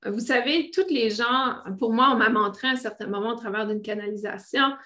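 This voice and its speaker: A woman's voice: a little high, brisk, sharp tone. A woman with a French Canadian accent talking off the cuff to online viewers as a spiritual teacher, often searching for words and repeating phrases. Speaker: spiritual teacher hosting a live stream. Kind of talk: unscripted live talk to online viewers.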